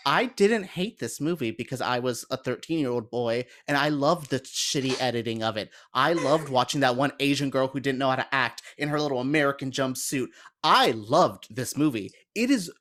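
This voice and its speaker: A man's voice: medium pitch, animated, normal speed, few pauses, fairly bright tone. Casting: man in his thirties, mid-range voice, rambling and opinionated podcast panelist with a casual, self-interrupting delivery. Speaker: podcast panelist discussing bad films.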